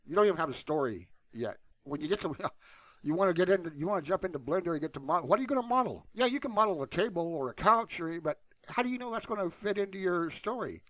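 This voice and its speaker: A man's voice: high voice, lively intonation, fast talker, a bit bright, no echo. A man hosting a tutorial channel, talking casually and off the cuff in a blunt, rambling, colloquial way into a close mic. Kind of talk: casual unscripted screen-share talk.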